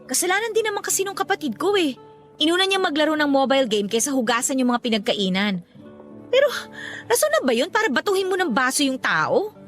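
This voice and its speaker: A woman's voice: high-pitched, sing-song, a little fast, a bit bright, soft background music. A woman playing a troubled, indignant young working woman in a Tagalog radio drama, talking in an emotional, aggrieved way.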